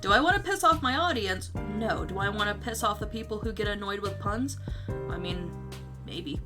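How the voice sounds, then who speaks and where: A woman's voice: mid-range voice, lively intonation, moderate pace, music in the background. A woman chatting casually as a livestream host, with a giggly, rambling manner and some casual swearing. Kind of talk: casual livestream chat about own story notes.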